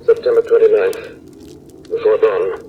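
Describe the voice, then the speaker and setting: A man's voice: fairly low pitch, fairly even intonation, normal speed, phone-quality sound, close to an everyday voice. An actor playing an earnest, anguished doctor who narrates a horror tale from his diary in dramatic 1930s radio style, on an old noisy broadcast recording. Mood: hushed dread.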